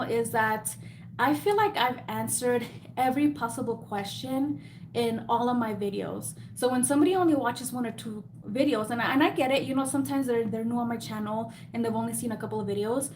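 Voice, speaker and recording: Chatty lady w a slight mexican spanish accent, kinda high voice, faint noise behind, laughs a lot. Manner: chatty, laughs often, self-deprecating. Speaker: beauty business host. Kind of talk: live Q&A chat.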